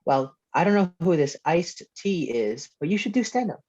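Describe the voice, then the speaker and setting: A woman playing an excitable, cheeky kid egging on a parent, in a comedy table read. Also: lowish voice, moderate pace. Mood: encouraging. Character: enthusiastic, cheeky kid of a single mom. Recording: dull lo-fi video call.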